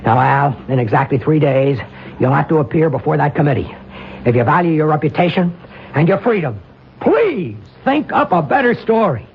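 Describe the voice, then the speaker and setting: An actor playing an incredulous, exasperated lawyer pleading with an old friend, husky-voiced, in an old radio drama. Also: male voice, fairly high pitch, lively intonation, moderate pace, heavily acted. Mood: agitated, pleading. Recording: muffled old radio recording.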